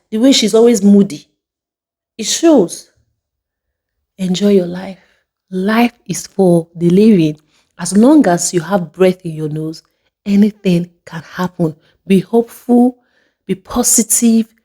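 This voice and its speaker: A woman's voice: on the low side, mellow. A woman hosting a motivational monologue to viewers, speaking emphatically and repeating phrases for effect. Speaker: video host.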